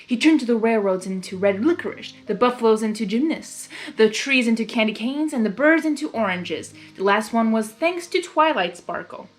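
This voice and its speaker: A chatty, expressive woman narrating fan fiction as host and adding her own spontaneous reactions. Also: a little high, normal speed, hardly any pauses, soft background music. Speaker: story reader and host.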